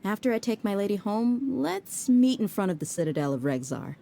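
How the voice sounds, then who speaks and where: An actress voicing a tough female mercenary bodyguard who is blunt and casual but soft at heart, in a game cutscene. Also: medium pitch, very animated, a little fast, vocal fry, noisy background. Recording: game score softly behind.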